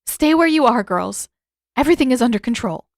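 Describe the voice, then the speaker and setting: Woman reading as an emphatic, excitable healer woman. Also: a little high, a little fast, no room sound, clean studio sound, pitched above the performer's own voice. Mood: forced calm. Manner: unsubtle, emphatic. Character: excitable, awestruck adult woman, a gossipy healer.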